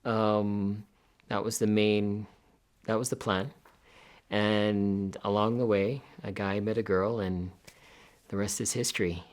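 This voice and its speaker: A man from Jamaica, a physical therapist talking in a measured, relaxed way in an interview. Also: on the low side. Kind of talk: documentary interview.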